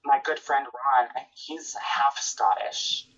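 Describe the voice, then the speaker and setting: A woman's voice: a bass voice, fairly even intonation, slow pace, a bit bright, distant mic, dull audio. A woman from Minnesota with a Minnesotan accent chatting casually, repeating and stammering as she talks. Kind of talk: casual chat.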